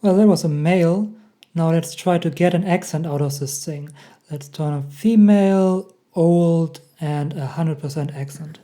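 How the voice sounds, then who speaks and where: A young man with a German accent, hosting a casual software tutorial and chuckling at the results. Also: on the high side, unhurried. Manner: chatty, laughs often at results. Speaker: tech tutorial host. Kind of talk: casual screen-recorded tutorial.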